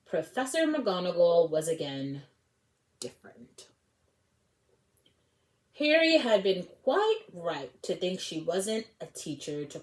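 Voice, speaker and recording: A woman reading a storybook aloud as a read-along host, expressive and informal, occasionally correcting herself. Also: mid-range voice. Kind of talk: storybook read-along narration with casual asides.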